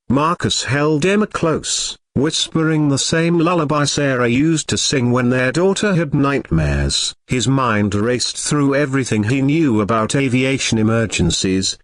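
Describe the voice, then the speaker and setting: A male storyteller delivers scripted drama with a solemn, suspenseful touch. His pitch moves widely through each sentence, and the sound is close and dry, free of room reflections. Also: a bit bright, no room sound. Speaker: story narrator.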